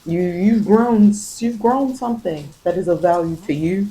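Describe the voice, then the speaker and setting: Halting, musing tarot reader with a medium pitch. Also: warm tone, a little hiss or hum, low-quality recording. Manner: repeats phrases, halting and musing. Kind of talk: ASMR tarot reading, rambling and improvised.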